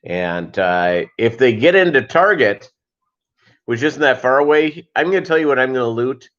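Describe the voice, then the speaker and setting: A man hosting a casual live chat, rambling along with joking, deadpan asides. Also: mid-range voice, moderate pace. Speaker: host of a live fishkeeping chat show. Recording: dull lo-fi livestream audio.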